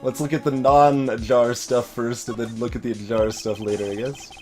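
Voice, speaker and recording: A man's voice: medium pitch, level tone, moderate pace. A man hosting a let's play, giving casual, off-the-cuff commentary on a video game. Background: faint game music.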